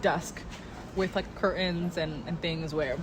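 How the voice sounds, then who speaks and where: A young woman co-hosting a casual chat, rambling with lots of fillers and quick to laugh, English not her first language. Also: fairly low pitch, fairly even intonation, a crowd in the background. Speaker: co-host. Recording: noisy recording.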